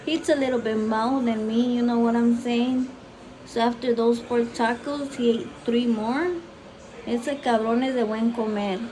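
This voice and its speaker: A brash, foul-mouthed woman with a Mexican accent talks while she cooks in her home kitchen. Spanish is her first language, and she swaps freely between it and English. Her voice sits high, with a faint hiss behind it. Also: fairly even intonation, normal speed, mellow, poor audio. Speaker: vlogger cooking at home. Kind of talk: casual cooking vlog chat.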